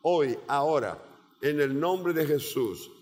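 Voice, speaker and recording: Pastor, male, Portuguese accent, slow and deliberate, higher voice, long hall reverb. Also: expressive, a little mellow, faint music behind. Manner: slow, emphatic preaching with repeated phrases. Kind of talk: sermon.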